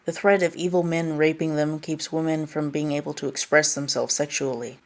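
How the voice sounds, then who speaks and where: Female video essayist, low register, scripted analytical delivery.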